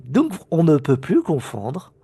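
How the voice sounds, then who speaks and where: A man with a head cold teaches a spiritual lesson on video. His voice is husky, and his pitch swings widely as he stresses key words. He often breaks off and restarts his phrases. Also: huge pitch swings, slow, dark tone, no room sound. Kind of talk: recorded video lecture.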